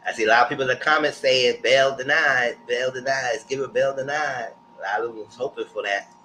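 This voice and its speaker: A man's voice: medium pitch, fairly even intonation, fairly quick, rough recording. A man hosting a livestream with casual, informal commentary, speaking directly to his viewers. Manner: informal, addresses viewers as y'all. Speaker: livestream host. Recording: loud background noise and hum.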